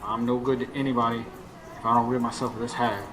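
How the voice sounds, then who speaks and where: A man's voice: mid-range voice, little pitch movement, quick pace, lightly acted. Actor as weary, haunted working man narrating. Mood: weary, brooding. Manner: folksy, colloquial. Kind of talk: radio drama first-person narration and dialogue. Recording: faint noise, live stage recording.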